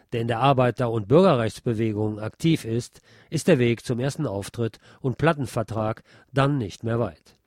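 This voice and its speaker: A man's voice: medium pitch, darkish tone, no echo, clean studio sound. A man presenting a radio programme, reading a scripted film review.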